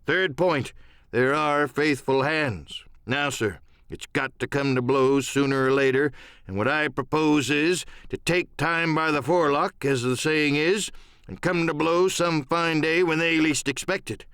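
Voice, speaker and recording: A man's voice: on the high side, unhurried, fairly bright tone, smooth, no echo, clean studio sound, voice pushed up. A man playing a stern, plain-spoken middle-aged ship's captain in an audiobook drama, firm and clipped in manner. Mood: grave, resolute. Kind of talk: audiobook radio-play character acting.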